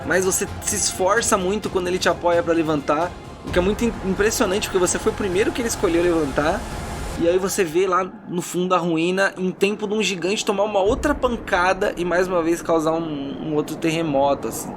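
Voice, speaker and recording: A man's voice: high-pitched, fairly quick. A Brazilian man working as game master, narrating a fantasy tabletop role-playing game dramatically, with informal asides. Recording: music bed behind the voice.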